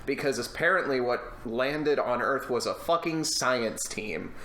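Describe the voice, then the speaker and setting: A man's voice: medium pitch, unhurried, a bit bright, reverberant. A young man co-hosting a casual film podcast, speaking in a wry, profane way. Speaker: podcast co-host.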